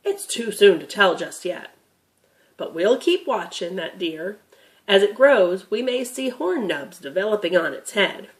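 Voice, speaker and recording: A woman's voice: mid-range voice, animated, a little slow, low-quality recording. A woman voicing a patient, knowledgeable grandfather who explains things emphatically in a children's storybook reading. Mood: patient, explanatory.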